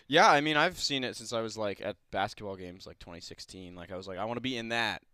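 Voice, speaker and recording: A young college-age man chatting as a studio guest, his voice bright and smooth at a medium pitch. He rambles earnestly with frequent restarts. The studio sound is close and dry. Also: fast, no room sound. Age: young adult. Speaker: student club president, studio guest. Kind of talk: casual interview chat. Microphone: studio mic.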